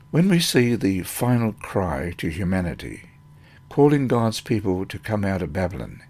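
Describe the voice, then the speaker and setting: Man, husky rough-edged voice, fairly low pitch, scripted devotional reading. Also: a little mellow. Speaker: lesson reader.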